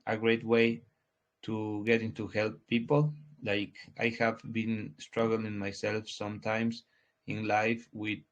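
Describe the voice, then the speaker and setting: Guest, 20s male, low voice, hesitant and restarting, lo-fi sound. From Ecuador. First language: Spanish. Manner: halting, restarts often, searches for words. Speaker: guest, crypto project founder. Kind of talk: casual interview answers. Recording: dull lo-fi audio.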